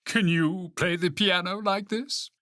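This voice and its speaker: A reader voicing an excitable toad in a children's storybook, exclaiming and pleading in an animated character voice. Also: mid-range voice, slow, no room sound, clean studio sound, pitched above the performer's own voice, exaggerated voice. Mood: boastful, showy. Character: boastful then anxious, lonely toad.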